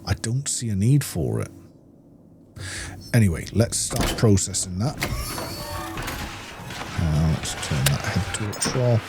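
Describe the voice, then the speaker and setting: A man's voice: lowish voice, very animated, slow, long pauses, music in the background. A man giving casual gameplay commentary, rambling and thinking aloud as he goes. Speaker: gaming video host.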